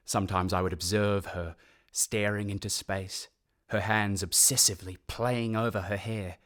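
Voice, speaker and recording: An actor playing a sensitive young orphan who narrates a gothic radio mystery in a hushed, intense, literary way, with a breathy voice. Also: male voice, lowish voice. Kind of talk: dramatic first-person radio play narration.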